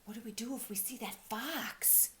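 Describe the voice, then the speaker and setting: A woman's voice: fairly high pitch, normal speed, fairly bright tone, pitched above the performer's own voice, close to an everyday voice. A woman voicing a polite, eager, curious young male toy teddy bear from a children's storybook. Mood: curious, earnest.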